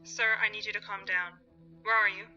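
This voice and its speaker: A brisk, firm emergency operator, talking quickly over a dull phone line. It is a radio drama, with music behind the call. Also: female voice, medium pitch, little pitch movement, pushed and bright.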